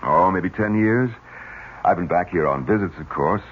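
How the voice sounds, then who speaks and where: An actor playing a smooth, guarded nephew who manages a mill, in a theatrical old-time radio mystery style. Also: male voice, low-pitched, moderate pace, close to an everyday voice. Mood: guarded, firm. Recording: dull, noisy old radio recording.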